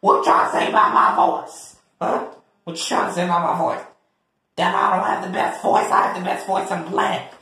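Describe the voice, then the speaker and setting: A man voicing a brash, combative woman with a rough, raspy voice in a comedy skit. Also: high-pitched, steady tone, fairly quick, pushed and bright. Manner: brash, foul-mouthed, defensive. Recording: very echoey, reverberant room.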